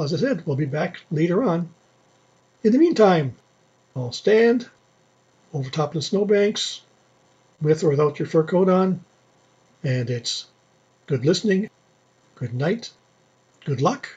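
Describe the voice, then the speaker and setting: An older man presenting a radio show in an unscripted, chatty way, with a husky, worn voice. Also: on the high side, big pitch swings, measured pace, pauses often, darkish tone. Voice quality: husky, unreliable voice. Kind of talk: unscripted sign-off and chat. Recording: dull, muffled audio.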